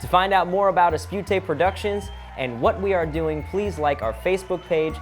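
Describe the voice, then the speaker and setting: A man's voice: high-pitched, moderate pace, soft background music. A man hosting a comedic vlog, explaining things in a scripted, instructional way with casual joking asides. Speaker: vlog host.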